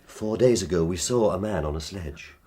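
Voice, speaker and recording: An actor playing a courteous, earnest and ambitious polar explorer captain in a dramatised audiobook, speaking formally. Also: male voice, low-pitched, normal speed, dark tone, slightly rough. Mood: courteous, curious.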